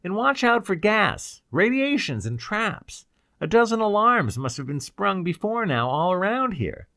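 A man voicing a desperate, excitable young captive with strained, urgent outbursts in a pulp science fiction audiobook. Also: sits high, unhurried, dry recording, clean studio sound, voice pushed up, full character voice. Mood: pleading, urgent.

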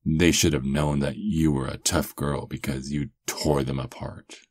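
A man's voice: rumbling low, fairly even intonation, no echo. A man playing a doting, teasing vampire lover in an intimate, murmured ASMR roleplay, close to the microphone. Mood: pleased storytelling. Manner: slow, intimate murmuring with soft chuckles. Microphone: close microphone, dry.

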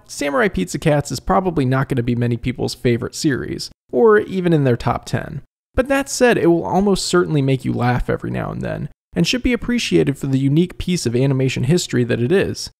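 Man narrating a review, creaky voice. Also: mid-range voice.

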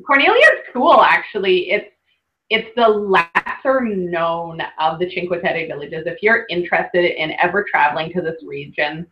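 A woman's voice: fairly low pitch, moderate pace, dull audio. A woman hosting an online workshop, chatting casually through slides with lots of laughs. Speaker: workshop host presenting slides. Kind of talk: casual webinar presentation.

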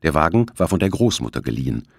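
A man's voice: very deep voice, very animated, quick pace, gravelly, no echo, clean studio sound. A man narrating a suspense novel as an audiobook, reading from a script. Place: close, dry studio recording.

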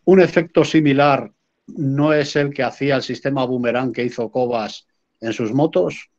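A man's voice: medium pitch, normal speed, a little mellow. Polite man asking a technical question. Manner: polite, inquisitive. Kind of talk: live debate on a video call. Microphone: video call. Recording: dull video call audio.

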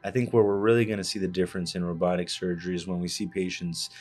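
A man in his thirties from Cuba, raised in Miami, an orthopedic surgeon explaining his work in a measured way with many fillers, in a studio podcast on a desk mic. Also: deep voice, flat delivery, darkish tone, faint music behind. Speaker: orthopedic surgeon, interview guest. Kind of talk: hospital podcast interview. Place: small studio set with plant and armchairs. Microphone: desk podcast microphone on arm.

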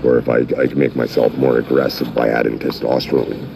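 Very deep-voiced man, rambling on a phone. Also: level tone, gravelly. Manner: halting, many fillers and restarts. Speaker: channel owner. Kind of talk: rambling commentary, half-chanted lyric reading. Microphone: phone mic. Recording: noisy phone recording.

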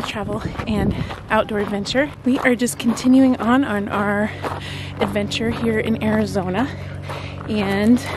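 A woman in her thirties talking cheerfully to a handheld camera on a desert hiking trail, chatting directly to her viewers. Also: on the high side. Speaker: travel vlogger. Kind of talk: casual vlog talking to camera on a hike. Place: outdoors on a desert mountain trail. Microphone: handheld camera at arm's length. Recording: outdoor recording with clear background noise.

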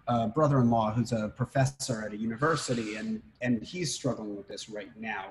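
A middle-aged rabbi speaks to his congregation over a video call, measured and deliberate, with plenty of ums and uhs. His voice sits at an ordinary man's pitch. A faint hiss runs under the call audio. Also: poor audio. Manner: measured, deliberate, with frequent fillers. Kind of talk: town hall remarks to a congregation.

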